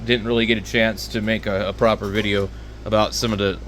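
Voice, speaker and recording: A man giving casual, rambling, unscripted product talk as a video host, with a hesitant, halting delivery. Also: fairly low pitch, little pitch movement, fairly bright tone, slight background noise. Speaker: hobbyist video host reviewing handheld radios.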